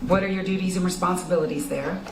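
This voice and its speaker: A woman prosecutor questioning a witness in court, polite, formal and measured. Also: lowish voice, fairly even intonation, creaky. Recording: echoey courtroom, distant mic, noisy.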